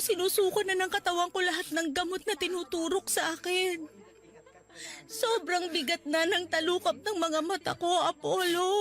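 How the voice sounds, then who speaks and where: An actress playing a gravely ill young woman, tender and loving, speaking softly and pleading in a radio drama. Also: very high-pitched. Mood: tearful. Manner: soft and pleading, voice breaking into tears.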